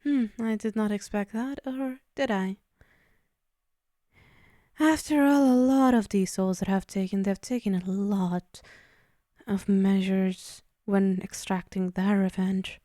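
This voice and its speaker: A woman voicing a possessive demon mistress for an ASMR roleplay, dark and mellow in tone. She speaks slowly and haughtily, teasing with soft menace, in a very dry, intimate recording. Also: mid-range voice, fairly even intonation, dry recording, lightly acted. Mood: amused, imperious. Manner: slow, teasing, condescending, murmuring into whispers.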